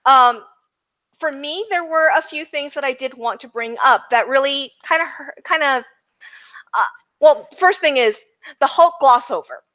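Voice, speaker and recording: A woman's voice: high-pitched, unhurried, pushed and bright, muffled recording. A woman chatting casually as a podcast panelist, speaking emphatically and repeating phrases for stress. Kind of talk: casual roundtable chat.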